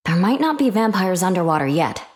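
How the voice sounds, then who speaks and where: An actress voicing a capable middle-aged scientist superheroine in a video game, with dry, wry humour. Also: female voice, lowish voice, sing-song, a little fast, a little mellow. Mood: wry, determined.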